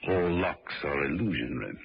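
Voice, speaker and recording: An actor playing an urbane, unflappable gentleman agent with a wry, polite manner in radio drama style. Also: rumbling low, animated, normal speed, creaky, husky, heavily acted. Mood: nonchalant. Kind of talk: vintage radio drama acting. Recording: muffled, hissy old radio recording.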